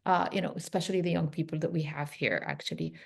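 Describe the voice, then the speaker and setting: Woman, webinar host, low voice, gravelly, measured questions, hedging fillers. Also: very animated. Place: remote video call. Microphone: video call microphone.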